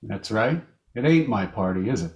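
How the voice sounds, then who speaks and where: An actor playing a terse, guarded, tough nightclub owner in an old-time radio drama. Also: male voice, on the low side, animated, moderate pace, a little mellow. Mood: curt, firm. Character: curt, wary, tough male piano-player nightclub owner. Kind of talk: 1940s radio acting. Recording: piano music behind the voice.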